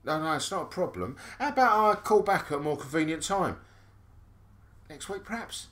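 A man's voice: a little high, expressive, normal speed, a bit bright. An actor playing a cheeky, flirtatious Cockney salesman in an audio drama, chatty and cajoling. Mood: politely persistent.

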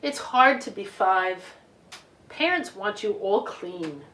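A middle-aged woman reading a picture book aloud to children in an expressive, deliberate way with emphasized words. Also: mid-range voice, a little hiss or hum. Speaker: children's storytime reader. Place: living room couch, indoors. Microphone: camera a few feet away. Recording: roomy home recording, distant microphone.

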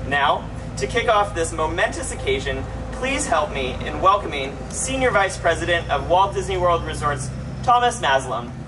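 A man's voice: on the high side, very animated, moderate pace. A man acting as emcee and company ambassador, delivering an upbeat scripted welcome at a public event. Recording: distant outdoor recording with clear background noise.

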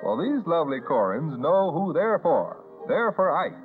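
A man's voice: a little high, very animated, a little slow. An American man narrating a 1950s newsreel in a polished, scripted announcer style, on an old film soundtrack. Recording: tinny band-limited 1950s newsreel soundtrack. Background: newsreel music.

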